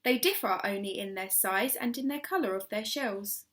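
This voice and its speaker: A woman's voice: medium pitch, normal speed, fairly bright tone. A woman reading a children's storybook expressively, as a primary school story reader, stressing key words.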